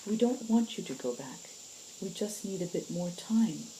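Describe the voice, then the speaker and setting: A woman's voice: mid-range voice, animated, normal speed, warm tone, slight background noise, voice pushed up, natural voice, low-quality recording. A woman voicing an earnest, pleading young woman who stands up for her family in a story.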